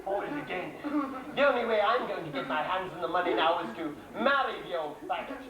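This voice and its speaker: A man's voice: high-pitched, expressive, fairly quick, over a noisy crowd. A man playing a scheming, greedy, smarmy pantomime villain on stage, sneering and theatrical. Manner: sneering, theatrical, plays to the audience. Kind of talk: broad stage pantomime acting. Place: theatre stage, recorded live. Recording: echoey theatre stage, distant camcorder microphone.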